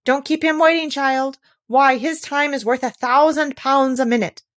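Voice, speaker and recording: Pompous storybook train passenger, chanting and emphatic, pitched up, woman reader playing the part. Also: fairly even intonation, normal speed, a bit bright. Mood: chiding. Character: chanting chorus of pompous, fussy railway passengers.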